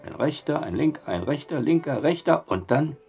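A man's voice: on the high side, expressive, moderate pace, darkish tone, soft background music. An actor playing a dry, skeptical male barkeeper in an audio drama. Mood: mysterious, matter-of-fact. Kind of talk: German audio drama acting.

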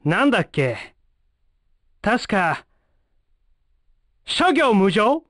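An actor playing a brash, cocky young male fighter in a Japanese fighting game, speaking in rough, breezy slang. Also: high voice, big pitch swings, extremely slow, fairly bright tone, no echo, studio quality, exaggerated voice. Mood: world-weary, frank.

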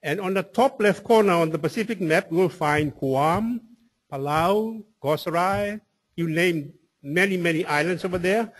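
A man from Tonga with a Tongan accent and a husky voice, giving a public presentation. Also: sits high, normal speed. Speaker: presenter at a community meeting.

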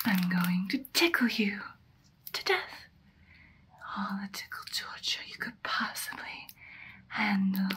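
A woman's voice: mid-range voice, background noise, close to an everyday voice. A young woman playing a gleefully sadistic masked killer in an ASMR horror roleplay, whispering breathily and teasingly. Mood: menacing, teasing. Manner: teasing, taunting, singsong repetition. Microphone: close ASMR microphone.

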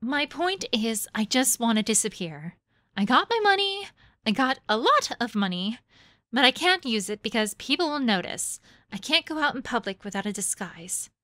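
Bitter young girl thief, high bright voice that swings around, dry close sound. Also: sing-song, moderate pace, dry recording. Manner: bitter, world-weary. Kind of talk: fan audio drama acting.

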